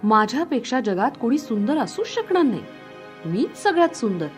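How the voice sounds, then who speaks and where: A woman's voice: a little high, sing-song, normal speed, faint music behind. A woman voicing a vain, wicked storybook queen with a self-admiring, sing-song delivery. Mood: vain, preening. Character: vain wicked stepmother queen addressing magic mirror.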